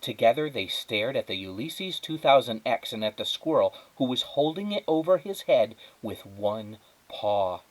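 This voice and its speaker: A man reading a children's story aloud as a teacher, in expressive narration. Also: medium pitch, moderate pace, hardly any pauses, rough recording.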